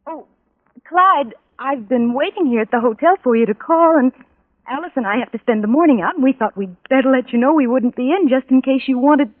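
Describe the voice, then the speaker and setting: An actress playing a desperate, lovesick young woman in a 1940s radio drama, with a halting, pleading, melodramatic delivery. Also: high-pitched. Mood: nervously polite. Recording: tinny band-limited old radio recording.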